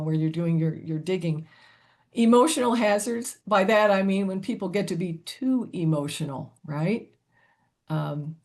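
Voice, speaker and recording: An older woman with a youthful-sounding voice presenting a webinar in a chatty, conversational way, often tossing in "you know" and "right?". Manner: chatty, lively pitch swings, frequent "you know" and "right?".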